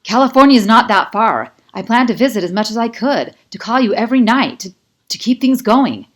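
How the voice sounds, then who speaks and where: A woman's voice: on the high side, lively intonation, fairly quick, voice pushed up. Audiobook romance heroine: young, stubborn, emotional, hurt ex-girlfriend; read by a woman. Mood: indignant, hurt.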